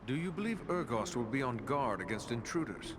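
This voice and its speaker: A man's voice: medium pitch, expressive, fairly quick, noisy background, natural voice. A man playing a brave, courteous ranger in a fantasy video game, speaking earnestly and formally. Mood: resolute. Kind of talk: video game cutscene acting.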